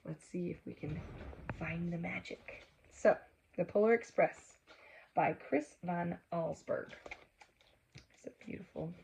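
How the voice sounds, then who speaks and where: A woman reading a storybook aloud for children, gently engaging them with asides and questions. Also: fairly low pitch, slow, creaky, long pauses, slight background noise, poor audio.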